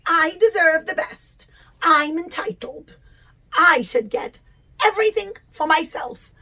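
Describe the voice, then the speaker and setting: A smug, towering mountain from a children's puppet show, played by a woman, pitched high. He brags in a slow, entitled way about being better than all the rest. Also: lively intonation, sharp tone, heavily acted. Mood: smug boasting. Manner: bragging, entitled. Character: boastful tall male mountain showing off.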